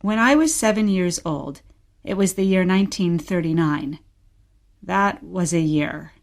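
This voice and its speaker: A woman acting a gentle, patient mother who tells stories of her childhood in a scripted radio play. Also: fairly low pitch, expressive, unhurried. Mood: reflective. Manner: patient, storytelling.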